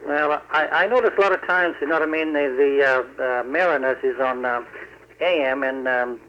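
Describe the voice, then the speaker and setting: A man's voice: medium pitch, normal speed, slight background noise. A man calling in to a radio show over a telephone line, chatting casually in a polite, hesitant, repetitive way. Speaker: listener calling in. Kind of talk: casual phone-in chat.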